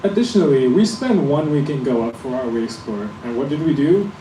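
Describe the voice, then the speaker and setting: A man's voice: medium pitch, animated, fairly quick, dark tone. Young man announcing over an air conditioner hum. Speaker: student presenter. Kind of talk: introducing a presentation. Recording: echoey room, far mic, air conditioning hum.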